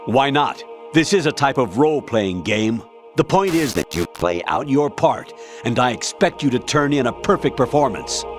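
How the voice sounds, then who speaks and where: A man's voice: mid-range voice, very animated, normal speed, natural voice. An actor playing a stern older male military colonel in a video game, commanding and formal but eerily erratic. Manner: commanding, clipped, formal, sliding into rambling non sequiturs. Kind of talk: dramatic video game voice acting. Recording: music behind the voice. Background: loud music.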